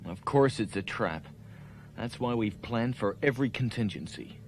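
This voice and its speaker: Male actor as starship captain, calm, commanding, dry, mid-range voice, sci-fi series, music underneath. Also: medium pitch, normal speed, slight background noise, close to an everyday voice. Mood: assured. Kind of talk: puppet sci-fi series acting. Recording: music behind the dialogue.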